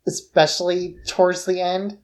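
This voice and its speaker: A woman's voice: on the low side, flat delivery, normal speed. A woman co-hosting a podcast in a casual, conversational discussion of films. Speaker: podcast co-host.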